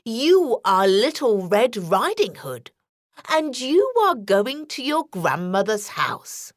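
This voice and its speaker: A woman voicing a cunning, greedy, grumpy male wolf in a children's story, with a sly, drawn-out delivery. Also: a little high, very animated, dry recording, studio quality, full character voice. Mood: sly, wheedling.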